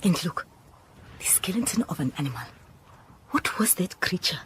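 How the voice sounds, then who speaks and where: An actress playing an uneasy woman exploring in a radio drama, with a breathy, hushed voice. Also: on the low side, sing-song, moderate pace, whispered, exaggerated voice. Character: uneasy woman exploring a deserted dusty building.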